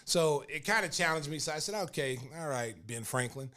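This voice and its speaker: A man's voice: fairly high pitch, brisk. An older man from Oklahoma, a podcast host and former basketball player, telling sports stories in casual talk full of fillers. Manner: animated, laughing storyteller with many fillers.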